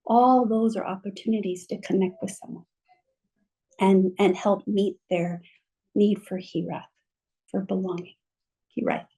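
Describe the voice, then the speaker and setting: A woman with a mellow, relaxed voice speaks slowly and gently. She guides her listeners through a calming reflection. She is heard over a video call. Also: mid-range voice, breathy edge, low-quality recording. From mother from Mexico, bilingual. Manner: gentle, slow, soothing guidance. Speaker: guest speaker.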